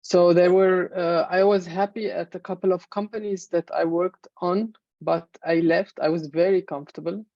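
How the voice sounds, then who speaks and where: A woman in her thirties from Egypt, a native Arabic speaker, giving a measured presentation in an online webinar with many fillers. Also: lowish voice, steady tone, warm tone, no room sound. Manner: measured, explanatory, frequent fillers. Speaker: main speaker. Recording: dull, muffled webinar audio.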